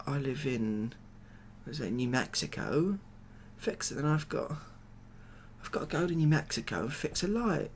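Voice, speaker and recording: A British man speaks in a gravelly, creaky voice, with faint noise behind him. He rambles hesitantly and deadpan, soft-spoken in ASMR comedy style, as a glum former builder who is out of his depth. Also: on the high side. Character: glum, overwhelmed ex-builder made president of the world. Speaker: ASMR roleplay performer.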